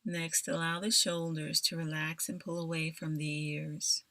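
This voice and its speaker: A woman guides a meditation in a low, gravelly voice with plenty of fry. She speaks very slowly and deliberately, soothing the listener and pausing often between scripted phrases. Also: flat delivery, sharp tone, no room sound. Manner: soothing, deliberate, with long pauses.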